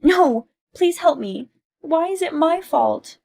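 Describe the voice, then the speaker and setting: A woman doing a shrill, whiny greedy mom. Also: expressive, moderate pace, darkish tone. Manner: shrill pleading whine.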